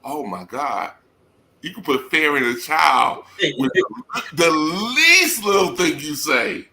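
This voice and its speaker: A man with a deep bellowing voice, a parent giving emphatic advice in a casual panel discussion. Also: very animated, slow pace, vocal fry, bright, forceful tone, a little hiss or hum.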